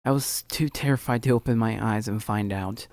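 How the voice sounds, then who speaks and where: A man's voice: a little fast, darkish tone, dry recording. A man hosting a let's play, narrating a visual novel aloud theatrically and often stumbling over the text. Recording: music behind, low-quality home recording.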